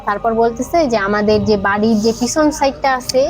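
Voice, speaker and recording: Young bangladeshi woman, highish voice, chatting through her own scary story, music behind her. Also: moderate pace. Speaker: podcast guest. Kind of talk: conversational personal ghost-story account. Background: background music.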